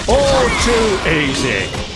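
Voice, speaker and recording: A man's voice: high voice, wildly swooping pitch, very slow, very noisy, full character voice. An actor playing an arrogant, threatening male villain in a game cutscene who draws out his threats. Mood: gloating. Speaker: villain confronting the hero.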